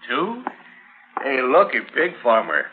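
An actor playing a cocky, mean cowhand bully with a jeering, drawn-out delivery in old radio drama style. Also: male voice, medium pitch, huge pitch swings, crowd noise. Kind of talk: 1950s radio acting. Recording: muffled, noisy old radio recording.

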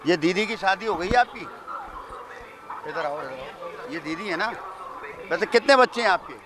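A man's voice: high voice, lively intonation, moderate pace, poor audio. A middle-aged man hosting a playful street interview, bantering and repeating answers back. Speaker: show host interviewing fairgoers. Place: outdoor fairground in a crowd. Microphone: handheld interview microphone. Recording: outdoor crowd noise.

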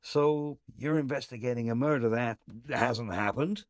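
A man's voice: mid-range voice, normal speed, no echo, natural voice. A man playing an exasperated, wry private investigator in an audio play. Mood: wry, businesslike.

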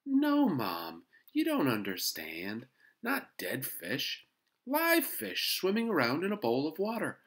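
Storybook schoolboy acted by an adult reader: eager, high-pitched, very lively swings. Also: slow, heavily acted. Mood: eager, proud. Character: excitable young schoolboy, eager, earnest, easily delighted.

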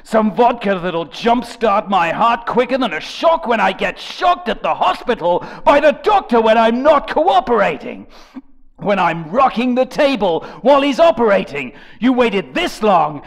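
A young man reading song lyrics as a theatrical dramatic performance, jumping between shouted lines and low mutters with a gravelly edge. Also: very animated, measured pace. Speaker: comedic dramatic reader of rap lyrics. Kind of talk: over-the-top dramatic reading.

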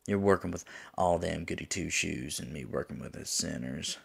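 A man playing a teasing, flirtatious demon lover in an intimate ASMR roleplay, with a drawling manner that drops into breathy murmurs. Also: sits low, normal speed, heavily acted. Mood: playful, flirtatious. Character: teasing, flirtatious male demon lover, smug yet smitten.

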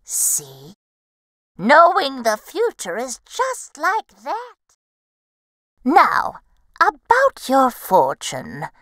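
A woman's voice: high-pitched, huge pitch swings, extremely slow, long pauses, a bit bright, dry recording, voice pushed up, full character voice. Storybook crow, female fortune-teller played by a woman: grand, swooping delivery, close dry sound. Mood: knowing. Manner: theatrical, knowing, coaxing.

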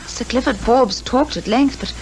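An actress playing a chatty, scatty secretary with a British accent, fluttery and opinionated, in 1950s radio drama style. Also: female voice, on the high side, moderate pace, close to an everyday voice. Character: chatty, scatty female secretary, eager to please. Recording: noisy 1950s radio recording.

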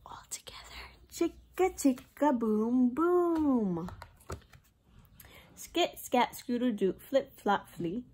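A woman's voice: a little high, animated, takes it slowly, a little mellow, husky. A young woman, a preschool teacher, reads a picture book aloud in a sing-song way for young children. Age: young adult.

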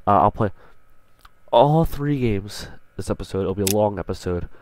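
A man with a stuffy voice from a cold, commentating his own video game play and getting excited on big plays. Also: lowish voice, normal speed, a little hiss or hum. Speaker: gaming channel host.

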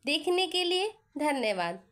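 A woman narrating a scripted moral story as a storyteller, with measured, deliberate phrasing. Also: high voice, big pitch swings, fairly bright tone. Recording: echoey, long reverb on the voice.

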